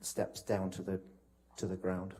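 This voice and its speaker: Male witness, low-pitched and mellow, polite and hesitant, flat intonation, for courtroom testimony. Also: measured pace, a little hiss or hum. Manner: polite, hesitant, broken phrasing. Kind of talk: courtroom testimony, recounting from memory.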